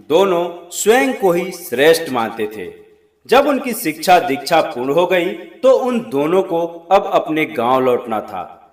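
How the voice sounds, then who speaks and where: A man narrating a scripted moral story in a deliberate, expressive storytelling manner. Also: fairly high pitch. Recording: roomy, echoing voice recording.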